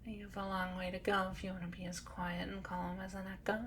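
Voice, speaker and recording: A woman playing a timid, sweet, calm young cat girl in a roleplay audio drama, soft-spoken, hesitant and gently dry. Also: mid-range voice, fairly quick, slight background noise, lightly acted.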